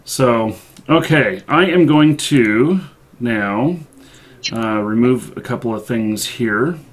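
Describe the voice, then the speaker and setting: A man hosts a live online show in a casual, chatty way. His voice sits at a middle pitch. He speaks haltingly, feeling his way through each sentence. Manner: halting, hesitant delivery. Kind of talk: casual livestream chat.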